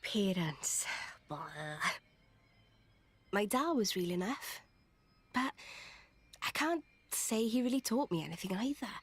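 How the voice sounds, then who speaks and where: A woman's voice: mid-range voice, lively intonation, takes it slowly, long pauses, breathy edge. A woman voicing a feisty, blunt young former noblewoman in a video game, with a cheeky, informal way of talking. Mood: scornful.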